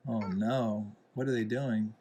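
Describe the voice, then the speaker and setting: A man, a father, reading a picture book aloud to a young child in a deliberate, gently explaining, instructive way. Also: fairly low pitch, fairly even intonation. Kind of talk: read-aloud storybook narration with asides to a young child.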